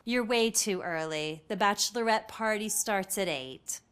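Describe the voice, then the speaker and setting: An actress playing an unfazed, jaded hotel clerk in a video game, dry and casual. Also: female voice, medium pitch, a little slow, fairly bright tone, clean tone, lightly acted. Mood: dismissive. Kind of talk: video game cutscene dialogue.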